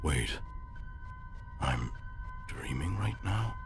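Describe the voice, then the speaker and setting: A man playing a melancholy, lonely exiled skeleton scientist in a comic dub, formal and brooding, with a breathy, near-whispered voice. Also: a bass voice, big pitch swings, exaggerated voice. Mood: troubled realization. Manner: brooding, formal, slow musing. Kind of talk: dramatic comic-dub monologue. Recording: loud music under the voice. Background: loud music.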